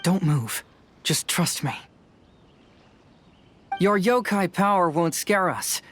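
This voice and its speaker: A young male detective from a video game, unflappable and sure of himself. His voice sits fairly high for a man and he reasons deliberately, with faint ambient noise behind him. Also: animated, a bit bright, exaggerated voice. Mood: firm, calm. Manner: deliberate, self-assured reasoning.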